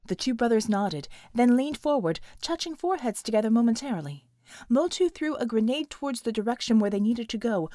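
High-voiced woman narrating fiction, very dry. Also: warm tone, no room sound. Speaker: audiobook narrator. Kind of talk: scripted dramatic fiction narration.

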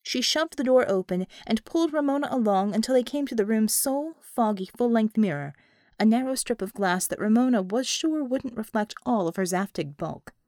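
A woman narrates an audiobook novel in a fairly high, animated voice. The delivery is scripted storytelling, captured very dry with no room sound. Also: moderate pace, no echo.

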